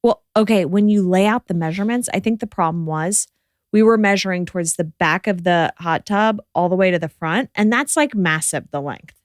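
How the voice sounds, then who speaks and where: A woman's voice: fairly low pitch, moderate pace, no echo, clean studio sound. A woman co-hosting a podcast, chatting casually with a friend and telling stories by acting out what people said. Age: adult, parent of a child. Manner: animated storytelling, quotes people in dialogue. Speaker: podcast co-host.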